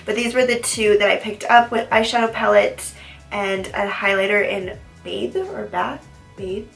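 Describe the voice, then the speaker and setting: A young woman chatting through a makeup tutorial with a head cold, sniffly and a little raspy, rambling and repeating herself. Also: medium pitch, unhurried, soft background music. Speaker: beauty vlogger. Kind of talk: casual makeup tutorial chat.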